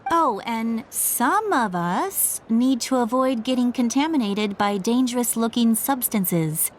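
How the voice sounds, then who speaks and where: A woman's voice: a little high, expressive, a little slow, slight background noise. A woman playing a practical young healer in a game with a wry, matter-of-fact manner. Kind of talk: game dialogue.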